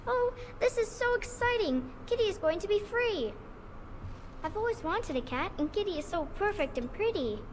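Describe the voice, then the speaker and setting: A woman's voice: extremely high pitch, very animated, normal speed, a little hiss or hum. A woman voicing an innocent, cheerful little girl in a video game, with a naive, sing-song, childlike manner. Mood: delighted. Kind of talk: video game character acting.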